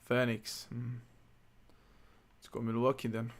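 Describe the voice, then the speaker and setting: A man chats through his gaming livestream in a relaxed, muttering way, reading menus half to himself. His voice is gravelly and fairly monotone, with a mid pitch. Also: measured pace. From Slovenia. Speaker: streamer. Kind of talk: casual gaming livestream chat.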